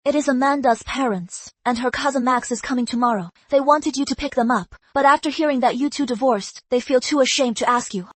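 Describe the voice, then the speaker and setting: A young woman with a high voice who speaks calmly and teases lightly. The recording is close and dry. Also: fairly quick, few pauses, no echo. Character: young woman, teasing and calm.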